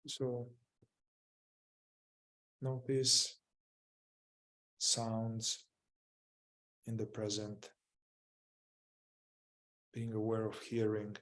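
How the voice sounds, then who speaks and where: Slow, even-toned man guiding meditation. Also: lowish voice, low-quality recording. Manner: gentle, unhurried, with long pauses between short phrases. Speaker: meditation teacher leading a group. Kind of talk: guided mindfulness meditation.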